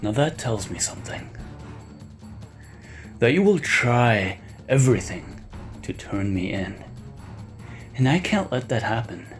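A man playing a calm, cold-blooded, menacing middle-aged uncle in an audio drama. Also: mid-range voice, measured pace, pauses often, soft background music. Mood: quietly menacing.

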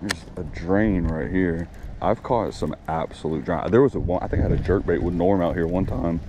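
A young man, talking casually to the camera as he fishes outdoors, rambling and excitable. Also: low voice, normal speed, dull audio. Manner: rambling, excitable, talks to himself during fights. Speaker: fishing vlogger. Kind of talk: casual talk to camera while fishing. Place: outdoors at ponds, windy. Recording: loud outdoor wind and water noise.

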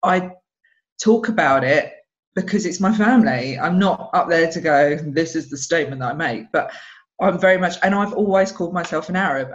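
A woman in her thirties with an Essex accent, a stand-up comedian chatting on a video call and acting out other people's lines as she tells stories. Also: low voice. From England. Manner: animated storyteller who acts out other people's lines. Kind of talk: casual interview chat. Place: home room, video call. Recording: dull lo-fi video call audio.

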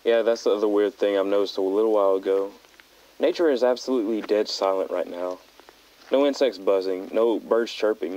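A young male backpacker , acted by a man, records a found-footage audio log on a dull, lo-fi tape. His voice is lowish and dark. He speaks in an understated, hesitant way, with unease beneath it. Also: close to an everyday voice. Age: young adult.

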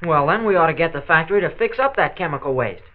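A man's voice: on the high side, lively intonation, quick pace, natural voice. A male actor playing a blunt, eager teenage boy in a 1940s radio drama. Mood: blunt, insistent. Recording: dull old radio transcription disc.

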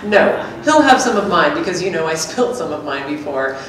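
A woman's voice: low-pitched, sing-song, fairly quick, a bit bright. Woman reading as a chatty companion in an echoey room. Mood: fussing, attentive. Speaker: performer reading a script. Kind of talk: seated staged reading of a dialogue script. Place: small hard-walled gallery room, indoors. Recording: very echoey room, distant, faint noise.

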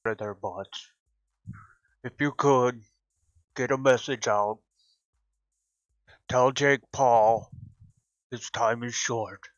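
A man plays an ominous demon delivering a threat. The words come extremely slowly, at a medium pitch. The recording is very dry and slightly dull. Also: steady tone, no room sound. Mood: slow menace. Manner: deliberately slowed, ominous delivery. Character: slow, menacing demon messenger, unprocessed.

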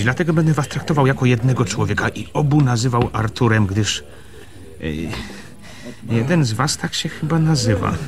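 Male actor as officious land surveyor, medium pitch, insistent radio-play delivery over background voices. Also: lightly acted. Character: stranger, land surveyor, insistent and self-assured. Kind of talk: radio drama acting.

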